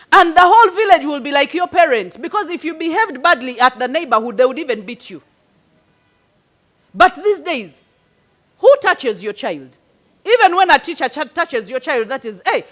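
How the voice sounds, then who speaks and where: Woman, middle-aged, non-native English, very high voice; animated advice-giver who talks to a group in stories and questions. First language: a local language, not English. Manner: animated, storytelling with rhetorical questions. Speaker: speaker answering parenting questions. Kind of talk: conversational advice talk to a group.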